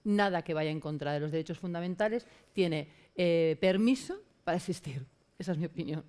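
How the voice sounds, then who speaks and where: A woman on a conference panel answering a question with her own opinion in a firm, measured manner. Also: lowish voice, darkish tone.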